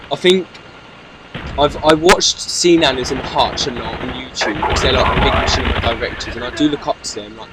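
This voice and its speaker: A British young man in his late teens giving hesitant, monotonous gameplay commentary, full of ums and restarts. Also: mid-range voice, heavy background noise. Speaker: gaming YouTuber. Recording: loud game gunfire behind. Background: loud video game gunfire and explosions.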